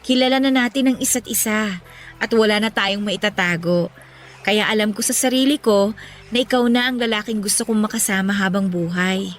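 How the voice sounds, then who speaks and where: A woman playing a caring, level-headed young girlfriend in a Filipino radio romance, gentle and reassuring. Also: medium pitch, moderate pace, natural voice. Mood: sincere. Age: young adult. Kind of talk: Tagalog radio romance drama acting.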